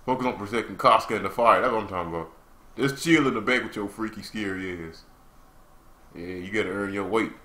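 A young man giving casual, slangy, excitable live reaction commentary on anime as the host. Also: on the low side, fairly quick. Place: small room indoors. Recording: roomy, echoing home recording.

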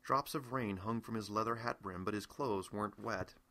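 A middle-aged American man narrates a novel in the first person. His voice is fairly low yet bright and forward, delivered as a scripted audiobook reading by the author. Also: moderate pace.

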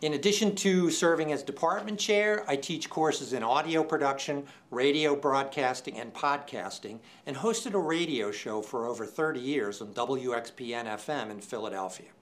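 A man in his sixties speaking as a presenter in a formal, measured, scripted style, enunciating deliberately. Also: medium pitch. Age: 60s. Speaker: department chair presenting awards. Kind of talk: scripted awards show presentation to camera. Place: indoor studio set.

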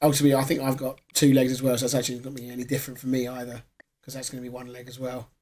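A hoarse man in his thirties, co-hosting a casual gaming podcast from a small home room, rambling with plenty of fillers. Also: medium pitch, completely flat delivery, very fast, dark tone. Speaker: podcast co-host.

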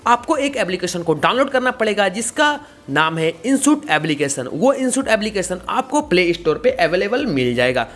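A man's voice: high voice, sing-song, moderate pace, few pauses, a bit bright. A young man giving a casual, repetitive step-by-step tutorial. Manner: repetitive, explanatory. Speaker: tutorial host.

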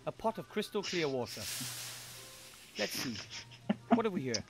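A man's voice: on the high side, sing-song, normal speed. An actor playing an eager, polite young male gnome hero in a game, chatty and curious.

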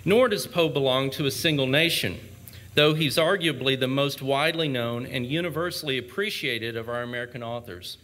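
A man delivering a formal ceremonial speech with measured, oratorical phrasing. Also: medium pitch, fairly bright tone. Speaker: speaker at a commemoration.

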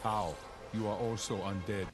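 An emotionless killer cyborg from a video game, played by a man, speaks in a low voice. The machine is blunt and commanding, with loud music behind. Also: moderate pace, lightly acted. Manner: flat, matter-of-fact, commanding. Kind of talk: video game character acting.

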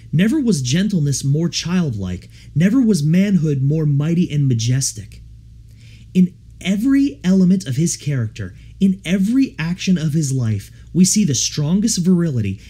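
A man reading a devotional audiobook in an earnest, measured, formal way. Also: a little high, dark tone, breathy edge. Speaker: audiobook reader. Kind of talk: scripted audiobook narration of a devotional text.

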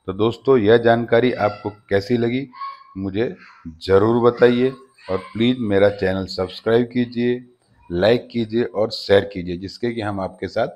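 A man hosting his own channel, giving a steady informational voice-over that often addresses viewers as friends. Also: on the low side. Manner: steady explanatory delivery with frequent "friends" address. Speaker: channel host. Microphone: voice-over over slides.

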